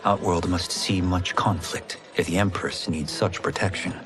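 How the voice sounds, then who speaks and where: An earnest young champion, acted by a man, with a fairly low male voice. He sounds curious and sincere, in a game cinematic with music clearly behind. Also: fairly even intonation, moderate pace, natural voice. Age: young adult. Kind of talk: video game cinematic acting.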